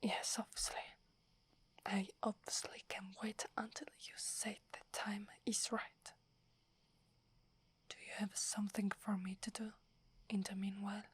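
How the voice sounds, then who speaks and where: A woman with an Italian accent playing a submissive, earnest female werewolf in a soft, breathy, hesitant ASMR whisper. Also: mid-range voice, flat delivery. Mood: respectful, tentative. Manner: hesitant, respectful, measured.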